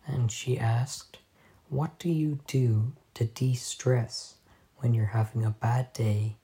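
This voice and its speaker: A hoarse man hosting a casual solo podcast at home, soft-spoken and halting, recorded on a phone voice memo. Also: medium pitch. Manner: soft-spoken, halting, drifting off mid-sentence. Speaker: podcast host.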